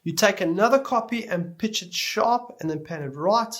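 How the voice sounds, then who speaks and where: A man giving an explanatory tutorial lecture as an instructor, speaking deliberately and stressing key words as he goes. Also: high-pitched, expressive, a bit bright. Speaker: music production instructor.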